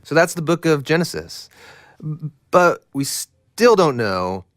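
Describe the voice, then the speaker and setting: A man's voice: a little high, sing-song, slow, fairly bright tone, no room sound, studio quality. A man co-narrating an educational explainer in a plain-spoken, casual storytelling style.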